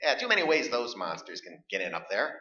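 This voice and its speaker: An actor playing a stubborn, defensive middle-aged husband in a staged radio play, arguing and stammering. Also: male voice, fairly low pitch, huge pitch swings, fast talker, pushed and bright, exaggerated voice. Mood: grumbling. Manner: stammering, argumentative. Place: live stage performance in a room. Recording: dull, echoey stage recording.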